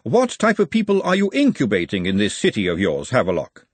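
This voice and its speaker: A man's voice: lowish voice, huge pitch swings, brisk, breathy edge, dry recording, heavily acted. A man voicing an imperious, disdainful noblewoman in a storybook, formal and pointed in manner. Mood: disdainful, challenging. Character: haughty, imperious aristocratic lady, sharp and disdainful.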